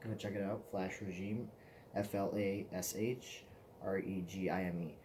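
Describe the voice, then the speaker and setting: A man's voice: deep voice, steady tone, a little slow, darkish tone, slight background noise. A man in his twenties, hosting his own vlog in a loose, profane, meandering casual chat. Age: 20s. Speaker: vlog host.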